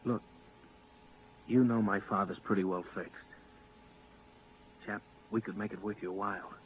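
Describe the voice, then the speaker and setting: A man's voice: on the low side, fairly even intonation, unhurried, close to an everyday voice. A man playing an earnest spaceman, hissy radio. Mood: pleading. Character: earnest male space crewman, persuasive and homesick. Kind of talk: 1950s radio drama acting. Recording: noisy, narrow-band 1950s radio recording.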